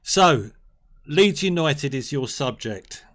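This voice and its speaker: A man's voice: fairly high pitch, lively intonation, slow, fairly bright tone. A man hosting a casual game stream, chatty and jokey as he reminisces. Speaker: stream host.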